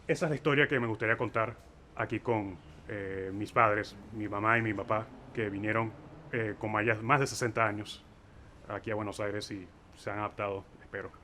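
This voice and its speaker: Man in his thirties, sharp bright voice, reflective narrator, faint traffic behind. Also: on the low side, fairly even intonation. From Venezuela, Caracas. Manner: reflective, thinking aloud with filler pauses. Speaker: filmmaker and interviewer. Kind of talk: personal documentary narration.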